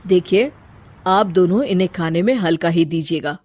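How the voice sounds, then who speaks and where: A woman voicing a calm doctor in a cartoon, giving polite, measured instructions. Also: lowish voice, no room sound, studio quality, natural voice.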